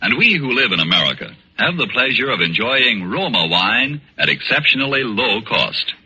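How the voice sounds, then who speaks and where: A man's voice: mid-range voice, big pitch swings, normal speed, bright, forceful tone, slightly rough. A man announcing a 1940s radio commercial with a polished, emphatic sales delivery. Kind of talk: 1940s radio advertisement read. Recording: muffled vintage 1940s radio recording.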